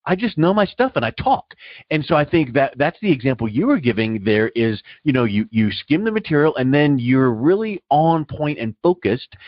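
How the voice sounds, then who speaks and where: A man's voice: mid-range voice, sing-song, moderate pace, no echo, lo-fi sound. A middle-aged man hosting a casual interview, self-assured and emphatic, repeating words to stress his points. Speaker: podcast host and interviewer.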